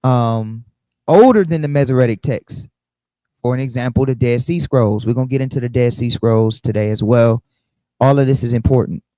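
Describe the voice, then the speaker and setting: A man's voice: mid-range voice, moderate pace, mellow, no room sound, poor audio. A man teaching a casual bible study, often checking in with the listeners by asking "right?".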